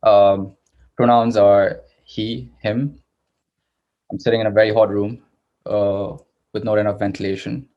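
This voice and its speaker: A young man introducing himself on a video call in a friendly, relaxed way from a hot room at home. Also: lowish voice, unhurried, long pauses, muffled recording. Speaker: service designer. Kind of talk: casual self-introduction.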